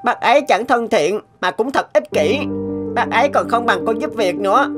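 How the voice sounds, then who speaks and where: A woman's voice: on the high side, lively intonation, normal speed, over music, natural voice. A woman voicing an earnest, outspoken young boy in a cartoon, polite but reproachful. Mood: disappointed. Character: earnest, outspoken nine-year-old boy. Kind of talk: animated moral tale dubbing.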